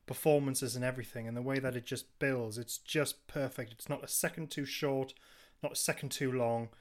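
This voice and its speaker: A man's voice: mid-range voice, fairly even intonation, moderate pace. A man co-hosting a podcast, chatting casually and somewhat hesitantly, often repeating his phrases. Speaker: podcast co-host. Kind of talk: casual chat.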